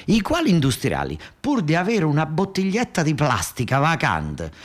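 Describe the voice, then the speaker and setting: Southern italian guy doing a stage storytelling monologue, slightly high voice, colloquial and emphatic, repeats himself. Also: wildly swooping pitch, normal speed. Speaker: actor-storyteller. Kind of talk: theatrical narrative monologue with dialect.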